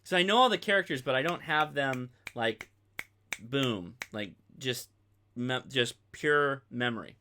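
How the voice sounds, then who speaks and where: Casual male host, thirties, crisp bright tone, informal off-the-cuff tutorial delivery that rambles. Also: mid-range voice, expressive, a little slow, slight background noise. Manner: rambling, informal, thinking aloud. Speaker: host of a ham radio channel.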